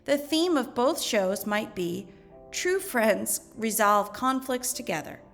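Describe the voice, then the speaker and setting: Female teacher, fairly high voice, measured instructional read-aloud with music behind. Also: lively intonation, vocal fry, a bit bright. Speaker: teacher reading lesson aloud. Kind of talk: educational read-aloud narration.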